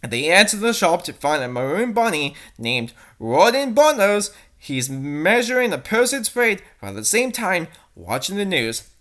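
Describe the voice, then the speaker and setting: A woman's voice: fairly low pitch, sing-song, moderate pace, hardly any pauses, fairly bright tone. A woman reading a story aloud theatrically and adding exasperated, mocking asides. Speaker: fanfic reader and commentator.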